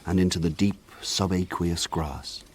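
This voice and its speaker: An actor narrating a radio drama as a grown man remembering his village boyhood, in a lyrical, measured way. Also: deep voice, steady tone. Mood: wistful.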